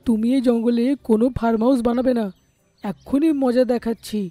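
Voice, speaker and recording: Hot-headed boy parrot for a fable performed by an actor: very mellow dark timbre, dry sound, emphatic. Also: mid-range voice, fairly even intonation, a little fast, dry recording, soft background music, lightly acted. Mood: defiant. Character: hot-headed young male parrot, defiant then remorseful.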